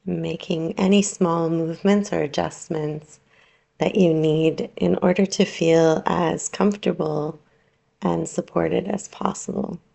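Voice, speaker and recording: Very slow, creaky low-voiced woman guiding relaxation. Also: steady tone. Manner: soothing, unhurried, gently instructing with long pauses. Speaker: yoga nidra teacher. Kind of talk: guided relaxation meditation.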